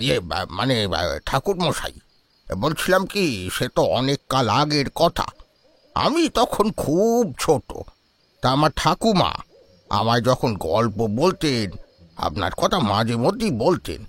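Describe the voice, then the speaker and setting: An actor playing a nervous, irritable old man with a halting, deferential manner in a horror audio drama. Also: mid-range voice, big pitch swings, fairly bright tone, no echo, heavily acted. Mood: nervous, reverent. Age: older. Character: nervous, irritable old Bengali man, reverent and easily frightened. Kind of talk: audio horror story acting.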